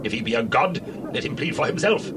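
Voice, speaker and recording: An actor playing a defiant Israelite father, declaiming slowly in a scripture drama. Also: medium pitch, expressive, a bit bright, lightly acted. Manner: slow, declamatory. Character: Joash, defiant Israelite father challenging a mob. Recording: clear background noise.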